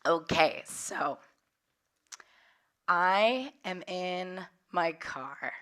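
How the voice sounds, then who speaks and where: Hoarse woman, voice kinda cracky, lowish but bright, laughs a lot while telling her story. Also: expressive, extremely slow. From Central Valley of California. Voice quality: slightly cracky voice. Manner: warm, open storytelling with frequent laughs. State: hoarse, recovering from losing her voice. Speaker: workshop leader. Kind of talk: personal storytelling talk.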